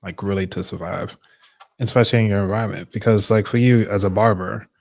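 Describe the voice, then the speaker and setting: A man's voice: on the low side, steady tone, brisk, creaky, a little mellow, dry recording. A man co-hosting a casual podcast discussion, talking in a loose, rambling way with many fillers. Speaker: podcast co-host.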